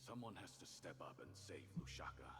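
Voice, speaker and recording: Deep, expressive heroic adventurer. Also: male voice, a little fast, background noise. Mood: tender, resolute. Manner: encouraging, resolute. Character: brave, tender male adventurer bidding farewell. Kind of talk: dramatic video game voice acting. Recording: long reverb with loud music behind.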